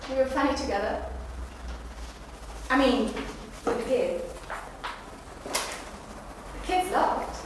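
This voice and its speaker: A woman's voice: high-pitched, lively intonation, takes it slowly, pauses often. A woman acting an earnest, eager character in a play reading, tentative in delivery. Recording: echoey hall, distant mic, noisy.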